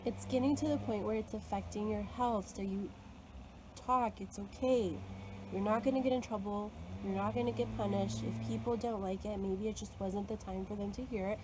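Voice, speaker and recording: A woman talking alone in a reflective, earnest way, coaching herself and her listeners through fear. She fills her speech with "like" and laughs softly now and then. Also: medium pitch, fairly even intonation, moderate pace, noisy background. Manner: earnest, self-coaching, frequent "like" fillers and soft laughs. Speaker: spiritual content creator. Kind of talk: reflective solo talk.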